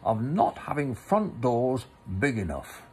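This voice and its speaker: A man's voice: mid-range voice, big pitch swings, slow pace, darkish tone, a little hiss or hum. A man voicing a bumbling, good-natured, greedy storybook bear who stammers and hesitates. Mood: distressed, cross. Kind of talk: storybook character reading.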